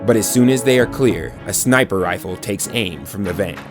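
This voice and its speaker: A man's voice: lowish voice, normal speed, over loud music. A man narrating a scripted audio drama in descriptive present-tense storytelling.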